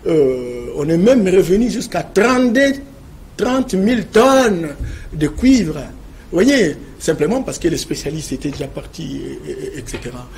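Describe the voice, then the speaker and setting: A man's voice: on the high side, expressive, darkish tone, a little hiss or hum. A man, a guest explaining his points in a TV debate. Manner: measured, explanatory, uses verbal fillers.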